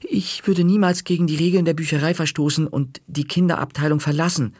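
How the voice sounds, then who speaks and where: A man's voice: a little high, fairly even intonation, brisk, a little mellow, husky, dry recording, studio quality, voice pushed up. A man voicing a cheeky boy who puts on a pious, mock-innocent tone.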